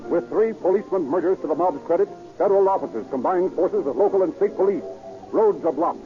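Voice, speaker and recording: A man's voice: high-pitched, fast talker, over music. Solemn dramatic male radio narrator. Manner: solemn, florid delivery. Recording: muffled old radio recording.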